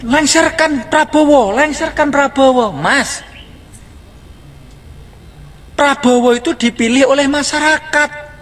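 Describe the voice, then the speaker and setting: This man speaks with a Javanese accent and a high-pitched voice. He scolds bluntly and mockingly, firing off rhetorical questions and answering them himself in the manner of a plain-spoken farmer. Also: steady tone, slow pace, pauses often, fairly bright tone. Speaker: farmer in a reacted-to video clip. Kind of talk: blunt scolding monologue to a student activist.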